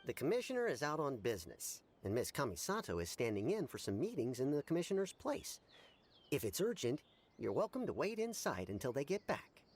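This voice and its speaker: An earnest young retainer in a video game. He is polite and accommodating, with a mid-pitched voice that swings dramatically. Faint noise sits behind him. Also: huge pitch swings, fairly quick. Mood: polite, welcoming.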